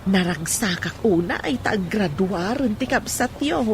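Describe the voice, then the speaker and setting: A proud middle-aged mother from a humble village for a radio comedy drama. She has an Ilocano accent and a grateful temper, with a voice of medium pitch. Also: expressive, unhurried, slight background noise. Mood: warm pride.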